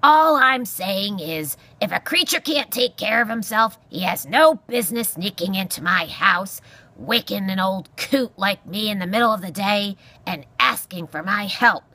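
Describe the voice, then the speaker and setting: Lady doing a cranky old boy porcupine from a storybook, scornful and barky, sharp edge, pitch all over the place. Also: expressive, measured pace, heavily acted. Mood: blustering. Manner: barking, growling, insulting bluster. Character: grumpy old male porcupine, scornful and loud.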